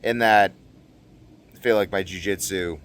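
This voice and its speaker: Lowish-voiced young man, rambling and animated. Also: a little slow, a little hiss or hum. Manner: animated, exclamatory, slangy, acts out quotes. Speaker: vlogger. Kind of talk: casual rambling monologue to camera.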